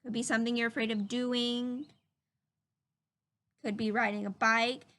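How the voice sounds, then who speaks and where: Woman, high voice, slow pace, expressive children's read-aloud narration. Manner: animated, simple explanatory asides to young listeners. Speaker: storybook reader for children.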